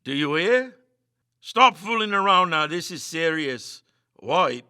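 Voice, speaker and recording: A male reader acts out a frightened man in a ghost story, urgent and anxious. His voice is forceful and strident, with a tense edge, in a close, dry sound. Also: fairly high pitch, lively intonation, slow, smooth, no room sound, voice pushed up, heavily acted. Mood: scared bluster. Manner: strident, urgent, stammering when scared. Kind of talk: dramatic ghost story reading.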